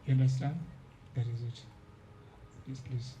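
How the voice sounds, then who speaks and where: A man's voice: medium pitch, little pitch movement, unhurried, mellow, slight background noise. A man who paints with a palette knife, earnestly explaining his process to an audience and often checking that they follow.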